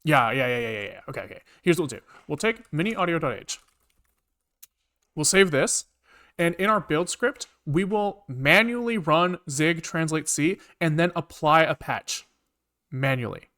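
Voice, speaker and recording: A man's voice: fairly high pitch, expressive, moderate pace, bright, forceful tone. A man thinking aloud as he codes on a livestream, rambling casually, repeating words to himself and swearing offhandedly. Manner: casually profane. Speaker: programmer livestreaming coding.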